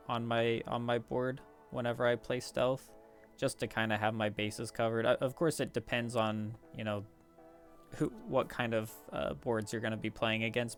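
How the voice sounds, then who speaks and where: A man's voice: mid-range voice, creaky. A man casually commentating on his gameplay, talking through strategy in a hesitant, rambling way. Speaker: gaming video host. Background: background music.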